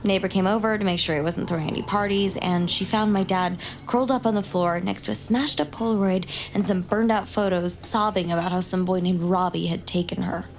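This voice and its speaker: A woman's voice: lowish voice, fairly even intonation, a little fast, few pauses, a little hiss or hum, natural voice. A woman playing a driven, inquisitive young crime survivor in an audio drama, laying out facts with intent emphasis. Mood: intent, urgent. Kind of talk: naturalistic audio drama acting.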